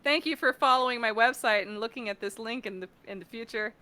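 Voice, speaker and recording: An American woman chatting casually and jokingly as a guest on a livestream, animated and giggly. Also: on the high side, quick pace, background noise. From Pittsburgh, United States. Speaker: interview guest, voice actor and audio director. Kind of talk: casual group chat on a livestream. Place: remote online call for a livestream.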